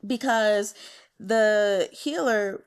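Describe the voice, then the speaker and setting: Female book vlogger, mid-pitched voice; chatty, slangy, animated delivery, informal commentary with giggly asides. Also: very slow, clear, resonant tone. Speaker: book reviewer hosting a reading vlog.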